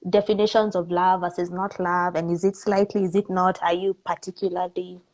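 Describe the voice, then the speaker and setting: A woman with a Kenyan accent talking casually as an interview guest, candid, expressive and informal in how she puts things. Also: lowish voice, a little fast, poor audio.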